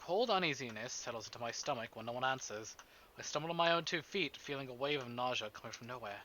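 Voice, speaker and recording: A man streaming a visual novel, moving between casual chat and expressive, theatrical story reading. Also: mid-range voice, fast talker, slight background noise. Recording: dull band-limited stream, music behind.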